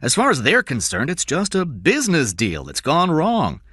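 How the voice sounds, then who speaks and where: A man voicing a confident, sharp-spoken wealthy father in an audiobook thriller, assertive and brusque. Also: mid-range voice, huge pitch swings, normal speed, dry recording, clean studio sound, voice pushed up, full character voice. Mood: brusque, assured.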